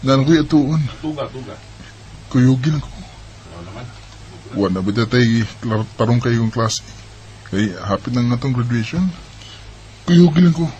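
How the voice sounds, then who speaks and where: A man's voice: mid-range voice, expressive, measured pace, long pauses, mellow, lightly acted, poor audio. A man acting an insistent, ardent young college boyfriend in a Filipino Bisaya radio drama. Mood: eager.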